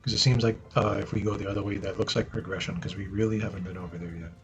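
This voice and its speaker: A man with a naturally low voice chatting casually as he streams a game, often asking "right?" and addressing viewers as guys. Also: fairly even intonation, very fast, soft background music. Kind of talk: casual gameplay commentary. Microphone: desk mic he moves around.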